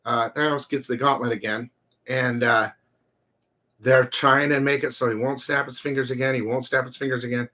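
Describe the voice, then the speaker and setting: Male host, mid-pitched voice, loose and slangy, unscripted film chat. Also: steady tone, normal speed, bright, forceful tone. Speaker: host of a live movie review show. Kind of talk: casual unscripted film discussion.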